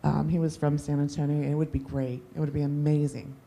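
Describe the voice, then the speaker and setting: A woman speaks plainly at a family meeting, setting out facts in an even way. Her voice is low, gravelly and mellow, with faint noise behind. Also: fast talker. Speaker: family member of a missing Marine. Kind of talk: open-mic remarks.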